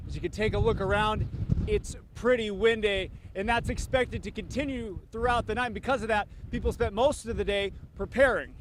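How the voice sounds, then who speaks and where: A male field reporter with a high-pitched voice delivers a live TV report. He is outdoors on a windy hillside, with clear noise around him. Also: brisk.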